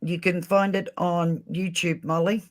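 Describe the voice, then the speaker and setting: A man's voice: high voice, level tone, a little slow. A man hosting a casual livestream, speaking to viewers directly in a friendly way. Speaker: livestream host. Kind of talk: casual live chat.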